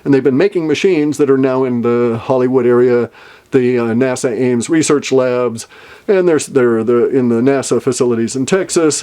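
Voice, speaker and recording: A man's voice: mid-range voice, mellow. An older man hosting a solo talk, rambling and speculative, with many fillers and restarts. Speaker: podcast host. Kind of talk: casual solo monologue.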